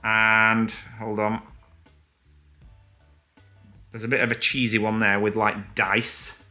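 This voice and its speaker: A man's voice: on the low side, level tone, slow, pushed and bright, soft background music. A man co-hosting a vlog, chatting casually and enthusiastically to camera with plenty of fillers. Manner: rambling, enthusiastic, many fillers. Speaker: vlog co-host.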